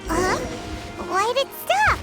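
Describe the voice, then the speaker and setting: A tiny floating fairy girl from a video game, squeaky and very high. The delivery is excitable and exclamatory, swinging widely in pitch. Music plays clearly behind the voice. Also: slow, heavily acted. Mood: eager. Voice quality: squeaky, childlike. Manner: refers to self in third person, exclamatory.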